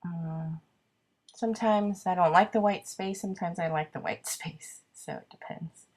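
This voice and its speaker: Husky, congested woman chatting through a craft project. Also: fairly low pitch, moderate pace, a little mellow. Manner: chatty, self-deprecating, thinking aloud with many ums. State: congested with a cold. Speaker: card-making crafter. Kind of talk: casual craft video narration.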